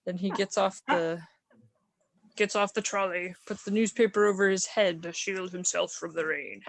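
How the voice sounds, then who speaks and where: A woman's voice: on the low side, fairly even intonation, moderate pace. A woman casually improvising descriptions of comic panels, amused and hesitant as she searches for words.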